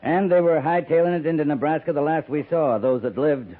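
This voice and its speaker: An actor playing a dry, sardonic, weary but humane cavalry captain in a 1950s radio western, with a clipped, commanding delivery. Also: male voice, a little high, fairly quick, natural voice. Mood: dry defiance. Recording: noisy 1950s radio recording, narrow band.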